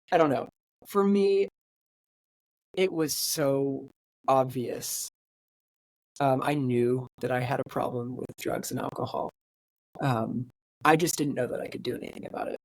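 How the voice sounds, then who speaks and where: A man in his late twenties, a podcast guest talking candidly in a reflective, halting way with many fillers and restarts. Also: mid-range voice, no room sound, low-quality recording. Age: 20s. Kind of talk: candid conversational interview.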